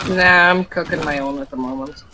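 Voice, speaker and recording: A woman's voice: sits low, fairly quick, a crowd in the background, poor audio. A woman, non-native English speaker, chatting and joking with teammates during a co-op game. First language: not English. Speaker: co-op player. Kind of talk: casual gaming voice chat.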